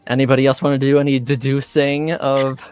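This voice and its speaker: A man improvising as game master in a tabletop roleplaying game, hesitant and halting as he searches for words. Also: mid-range voice, little pitch movement, darkish tone, smooth, no room sound.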